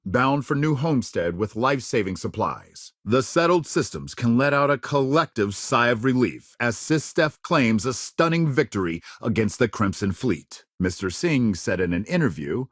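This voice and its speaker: An actor playing a polished news anchor in a spacefaring future video game, with measured, emphatic, dramatic newsreader phrasing. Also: male voice, mid-range voice, very animated, no echo, studio quality. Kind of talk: scripted broadcast news delivery.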